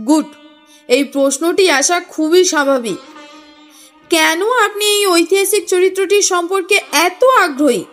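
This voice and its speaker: A woman's voice: extremely high pitch, unhurried, a bit bright. A woman voicing a composed, inquisitive male detective in an audio story, polite and probing in manner. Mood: curious, probing. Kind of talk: audio story character reading. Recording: music under the voice.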